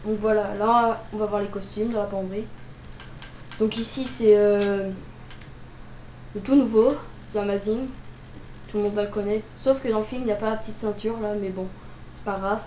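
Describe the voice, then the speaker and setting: A woman's voice: mid-range voice, steady tone, normal speed. A young woman with a cold improvising gameplay commentary, hesitant and full of fillers. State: has a cold, coughing. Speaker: gameplay video maker. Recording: roomy, dull lo-fi audio with noise.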